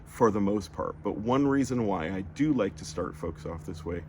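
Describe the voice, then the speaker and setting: A man teaching a lesson, patiently explaining sounds and drawing out each one slowly as he demonstrates it. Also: on the low side, lively intonation, dark tone, a little hiss or hum. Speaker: language teacher.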